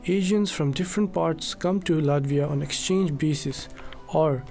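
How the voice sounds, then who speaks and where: Gravelly man narrating a documentary. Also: fairly high pitch, measured pace, dark tone, soft background music. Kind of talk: scripted narration.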